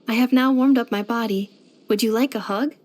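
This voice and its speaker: A woman's voice: on the high side, fairly even intonation, brisk, a little mellow, lightly acted. A woman voicing a polite, helpful robot maid companion in a video game, speaking in a formal, precise, earnest way. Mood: earnest, curious. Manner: formal, precise, clipped system-report phrasing. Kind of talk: scripted video game character voice lines.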